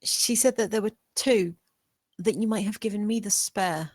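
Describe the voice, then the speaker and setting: A woman's voice: medium pitch, moderate pace, darkish tone, no room sound, studio quality, lightly acted. A woman playing a bold, scheming young maid in a screenplay table read. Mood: reporting, careful.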